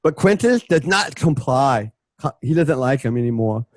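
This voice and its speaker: A man's voice: medium pitch, lively intonation, normal speed, no echo. A man narrating a radio play script in a loose, comic way, dropping wry, casual asides.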